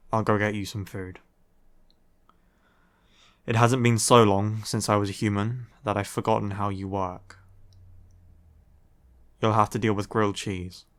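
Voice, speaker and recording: A man playing a grumpy young male vampire in an intimate audio roleplay, curt and deadpan, with a reluctantly caring streak. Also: on the low side. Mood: gruff, impatient. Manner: deadpan, curt, dry sighing delivery. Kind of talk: audio roleplay, intimate ASMR-style acting.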